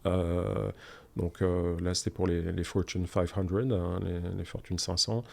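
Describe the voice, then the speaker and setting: A man in his forties, originally from Canada, talks as a guest in a relaxed interview. His voice sits fairly low. He keeps it informal, reaching for examples and often restarting his sentences as he explains. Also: measured pace, vocal fry. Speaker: startup cofounder guest. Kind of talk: casual conversational interview.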